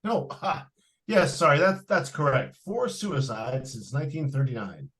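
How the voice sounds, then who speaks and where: A man's voice: a little high, animated, unhurried, fairly bright tone. An actor playing a fussy, matter-of-fact male real estate agent in an old-time radio drama, earnest with hesitant starts. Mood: earnest, dismissive. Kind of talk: 1940s live radio drama acting.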